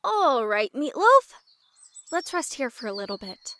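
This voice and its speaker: A young adult heroine of an audio drama, wry and casual with a caring streak. Her voice is high and very lively in pitch, and she takes her time over her lines. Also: a little slow, slight background noise, heavily acted. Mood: gentle, easy. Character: Melanie, young blonde woman, wry, sarcastic, caring.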